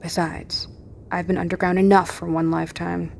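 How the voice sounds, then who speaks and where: A woman's voice: lowish voice, little pitch movement, normal speed, creaky, a little mellow, slight background noise. A woman playing a tough, wounded survivor in pain, talking in a tense, clipped way and often dropping to a whisper. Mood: urgent. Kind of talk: audio drama acting.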